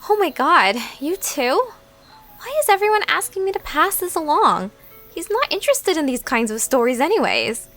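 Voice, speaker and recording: A woman's voice: extremely high pitch, very animated, moderate pace, a bit bright, soft background music, heavily acted. A woman playing a curious, exasperated young narrator in an audio drama, chatting informally and animatedly. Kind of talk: conversational audio drama dialogue.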